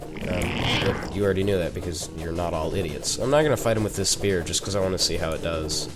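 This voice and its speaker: Teen male gaming commentator, head cold, lowish voice, loose and jokey. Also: a little fast, over loud music. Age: teens. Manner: chatty and rambling, with joking asides. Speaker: gaming YouTuber. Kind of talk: casual gameplay commentary.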